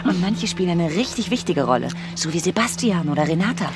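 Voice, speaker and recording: An actress in a German radio drama playing a ruthless, excitable young woman who schemes and makes demands. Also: lowish voice, a little fast, noisy background. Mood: gloating, conspiratorial. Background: music behind the voice.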